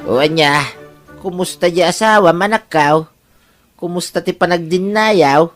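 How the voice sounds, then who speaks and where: A man's voice: high-pitched, a little slow, a bit bright, faint music behind. A man playing a chatty, teasing older father-in-law in an Ilocano audio drama, with a Filipino Ilocano accent. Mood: teasing, approving.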